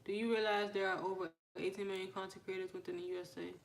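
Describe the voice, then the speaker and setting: A woman's voice: mid-range voice, level tone, quick pace, vocal fry, roomy sound. A woman hosting a casual livestream, chatting with viewers, reading their comments and welcoming newcomers. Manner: chatty, asks viewers questions, shouts out newcomers. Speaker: livestream host.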